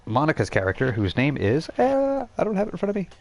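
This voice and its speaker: A man running a tabletop game as game master, narrating off the cuff and explaining in a halting, self-correcting way. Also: fairly high pitch, huge pitch swings, darkish tone.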